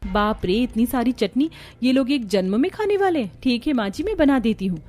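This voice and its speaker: A woman's voice: a little high, expressive, normal speed, darkish tone. A woman voicing a dutiful, weary young daughter-in-law in an audio story, polite and plaintive. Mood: grumbling, obedient. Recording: music behind the voice.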